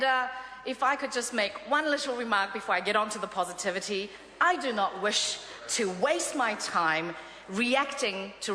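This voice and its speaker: A woman's voice: medium pitch, bright, forceful tone, a little hiss or hum. A middle-aged woman, a native Korean speaker, giving an upbeat parliamentary speech in a large chamber, with frequent filler sounds. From South Korea. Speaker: member of parliament. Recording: echoey chamber with long reverb.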